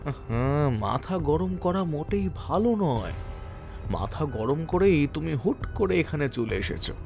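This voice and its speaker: A man's voice: a little high, big pitch swings, a little slow, vocal fry, a little mellow, full character voice. Smug, drawling gang boss played by a man. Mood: mocking, menacing. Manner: drawling, mocking, mock-polite. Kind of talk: Bengali audio drama acting. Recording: music behind the voice.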